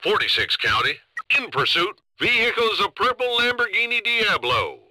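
A man playing an urgent highway patrol officer in a video game, calling in with clipped phrases over police radio. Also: sing-song, normal speed, sharp tone, dry recording, exaggerated voice, poor audio.

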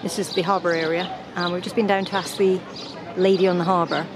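A woman's voice: on the low side, little pitch movement, fast talker. Woman chatting casually for a sailing travel vlog, camera mic outside, fair bit of background noise. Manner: chatty, informal. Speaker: travel vlogger, sailor. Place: outdoors at harbours and old ruins. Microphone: handheld camera microphone. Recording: clear outdoor background noise, camera audio.

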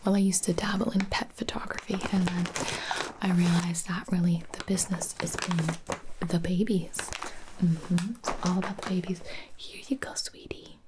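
A woman doing an ASMR roleplay as a baby photographer, whispering softly and cooing as if to a baby. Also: on the low side, fairly even intonation, moderate pace, background noise.

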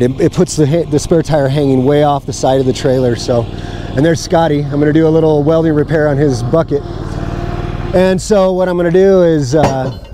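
A middle-aged man talks casually to camera outdoors. His delivery is plain-spoken and informal, at an ordinary male pitch. There is some outdoor background noise. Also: mellow. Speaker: homestead vlogger. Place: outdoors on open rural land. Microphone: clip-on mic and handheld camera.

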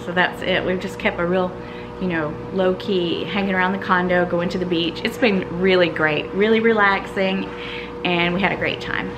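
A middle-aged woman vlogging casually to camera in a vacation condo, chatty and relaxed, laughing softly between sentences. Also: lowish voice, moderate pace. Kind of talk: casual vlog talk to camera. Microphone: phone held at arm's length. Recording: noisy phone audio.

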